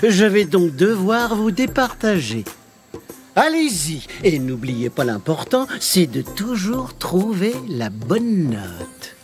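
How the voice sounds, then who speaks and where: An actor playing a vain, excitable sultan in a French children's cartoon, theatrical and pompous. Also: male voice, high-pitched, slow, faint music behind, exaggerated voice. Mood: stately. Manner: theatrical, exclamatory.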